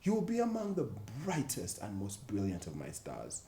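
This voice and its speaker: A man's voice: fairly low pitch, wildly swooping pitch, moderate pace, mellow. A man voicing a regal, cool Queen of the Night in a children's story, solemn and imperious. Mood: cold, grand. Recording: echoey room with faint background noise.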